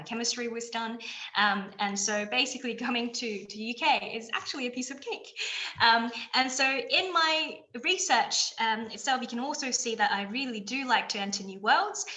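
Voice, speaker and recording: A woman's voice: a little high, sharp tone, reverberant. A woman, giving an enthusiastic chemistry webinar talk with many fillers and restarts. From China, raised in Australia. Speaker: chemistry lecturer.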